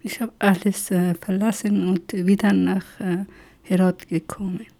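A middle-aged woman from Afghanistan with an Afghan accent, haltingly telling her personal story with many pauses. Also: mid-range voice, flat delivery, gravelly, warm tone. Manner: halting, many hesitations, slow and searching for words. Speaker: interviewee. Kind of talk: personal testimony.

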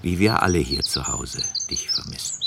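An older father reads his letter aloud in a radio drama. His voice is deep and creaky, gently reproachful, amid loud birdsong and noise. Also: animated, normal speed, exaggerated voice.

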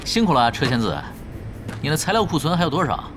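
Cheeky gamer, wildly swooping pitch. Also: male voice, big pitch swings, fast talker, background noise. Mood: teasing. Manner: teasing, nonchalant, playful. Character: cheeky, easygoing veteran male pro gamer. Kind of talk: Chinese audio drama acting.

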